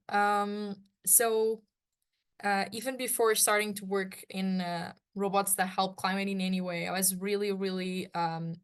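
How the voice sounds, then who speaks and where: A woman's voice: medium pitch, smooth. A young woman answering on a panel as an awardee, speaking hesitantly with many fillers. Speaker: challenge awardee.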